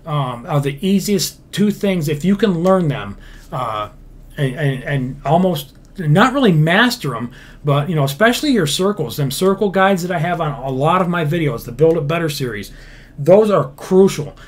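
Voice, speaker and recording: A man's voice: fairly high pitch, lively intonation, normal speed. A man hosting a casual livestream, reading viewer comments and answering them bluntly, swearing freely and rambling through lots of fillers and restarts. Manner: profane, blunt. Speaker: livestream host, game builder.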